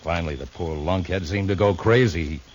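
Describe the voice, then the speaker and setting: An actor playing a rough, blustering cowhand in a 1950s radio western, with a folksy, grudging, rustic way of talking. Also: male voice, deep voice, normal speed, close to an everyday voice. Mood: grudging, confessing. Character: rough, blustering cowhand who turns sheepish under pressure. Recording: noisy 1950s radio recording.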